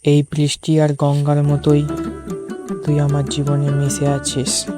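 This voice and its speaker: A man playing a tender young musician in a romantic audio story, speaking softly to the woman he loves. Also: on the high side, monotone, unhurried, over music, natural voice. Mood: tender, wistful. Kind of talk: dramatised audio story dialogue.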